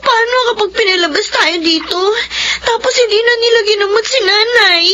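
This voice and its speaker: A woman's voice: very high voice, normal speed, bright, forceful tone. A woman with a Filipino accent acting a tearful, anxious schoolgirl little sister who speaks through sobs in a radio drama. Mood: tearful, frightened. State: crying.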